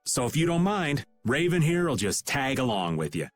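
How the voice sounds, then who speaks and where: A folksy middle-aged rogue acted by a man in a video game, casual and wry with cheeky self-pity. His mid-pitched voice swings expressively over faint music. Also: big pitch swings, moderate pace, close to an everyday voice. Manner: casual, wry, refers to himself by name. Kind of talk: animated RPG video game dub. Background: faint background music.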